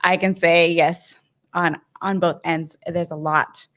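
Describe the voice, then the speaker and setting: A woman's voice: fairly low pitch, level tone, slow, a bit bright. A woman in her late twenties, a podcast panel guest, chatty and laughing lightly between points. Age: 20s. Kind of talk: casual chat.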